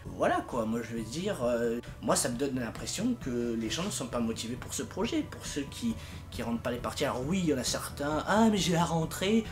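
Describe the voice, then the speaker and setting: A man's voice: medium pitch, lively intonation, a little fast, over music. A young man giving a casual, informal announcement as a project leader, exasperated and emphatic with comic exaggeration. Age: young adult. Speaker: fandub project leader and video maker.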